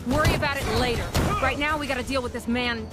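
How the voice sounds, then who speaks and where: An actress playing a confident, brash superhero in a video game, wry and punchy. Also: female voice, high voice, fast, lightly acted. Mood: urgent. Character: brash, confident female superhero, quick to fight. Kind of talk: animated video game cutscene acting. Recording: loud music over the voice.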